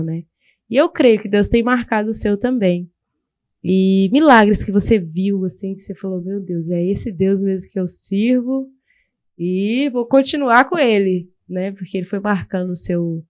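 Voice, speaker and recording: A woman leads a church podcast interview, answering her guest again and again with praise. Her voice has a medium pitch, and the sound is dry and close to the mic. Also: darkish tone, no echo. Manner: affirming, with frequent "glória a Deus". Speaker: podcast host.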